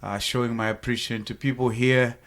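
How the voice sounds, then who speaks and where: A man's voice: medium pitch, flat delivery, brisk, fairly bright tone. A man in his thirties from Zimbabwe, with a Zimbabwean accent, talking earnestly and gratefully as a guest on a podcast. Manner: earnest, grateful, joking at times. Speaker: sales team guest. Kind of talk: casual podcast interview.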